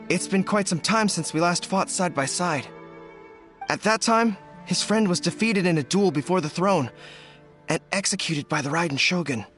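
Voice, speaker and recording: An actor playing a loyal, earnest young military commander in a video game, formal and sincere. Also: male voice, high-pitched, a bit bright.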